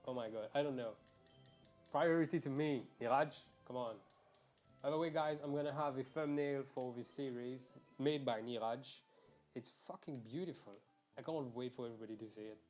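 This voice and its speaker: A young man talks loosely to his viewers while he plays, drifting between topics and cursing without a second thought. His voice sits at an ordinary middle pitch and comes through a quiet earphone mic, with faint game music underneath. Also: moderate pace. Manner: rambling and chatty, swears freely, talks to viewers. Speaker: streamer. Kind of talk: casual live game stream chat. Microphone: earphones mic, quiet and low in level.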